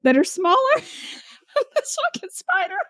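A young woman co-hosting a podcast, joking around and reacting quickly to her co-host's story. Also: very high voice, wildly swooping pitch, bright, forceful tone, no echo, clean studio sound. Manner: quick reactive interjections, playful. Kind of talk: casual chat.

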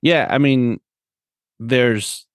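A man's voice: mid-range voice, drawn-out words, no echo, studio quality. A man in a casual sports discussion, co-hosting as a coach and often checking in for agreement. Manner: conversational, often seeks agreement with tag questions. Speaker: podcast host and soccer coach.

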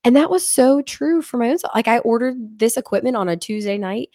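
A woman's voice: on the high side, expressive, fairly quick, mellow, no room sound, clean studio sound. A woman hosting a podcast in casual chat, nasal from a cold, bubbly and laughing often. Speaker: podcast host. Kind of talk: casual conversational interview.

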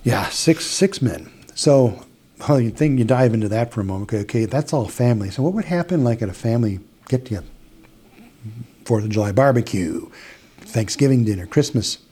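A middle-aged man with an Upper Midwest American accent, recounting a client story in a relaxed, chatty way. His voice is warm and mellow, and he keeps restarting phrases and acting out bits of dialogue. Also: mid-range voice, a little fast, slightly rough. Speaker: hypnotist guest. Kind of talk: casual storytelling in an interview.

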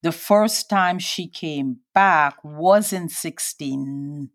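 A woman in her sixties from Guyana and Trinidad, a guest speaking in a hesitant, reflective way, pausing often as she recalls. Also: low voice, dry recording, studio quality. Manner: hesitant, reflective, many pauses and fillers. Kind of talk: personal interview.

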